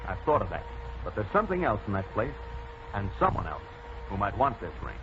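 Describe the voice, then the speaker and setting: Heroic sleuth, animated, old radio acting, muffled recording with heavy noise. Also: male voice, fairly low pitch, a little fast. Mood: pondering. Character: confident amateur sleuth and masked hero.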